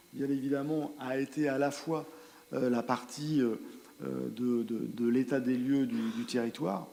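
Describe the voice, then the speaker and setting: Man, mellow tone, meeting presentation, hesitant and repetitive phrasing, explanatory, reverberant hall recording. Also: medium pitch, vocal fry. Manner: hesitant, halting delivery with repeated words. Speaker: presenter of a territorial project at a council meeting. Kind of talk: spoken presentation of survey results and strategy, partly improvised.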